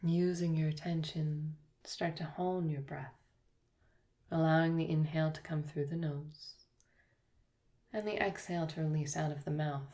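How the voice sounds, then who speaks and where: A woman yoga teacher guiding a yoga nidra relaxation, speaking slowly and softly with long pauses. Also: deep voice, steady tone. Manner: slow, soft, soothing guidance with long pauses.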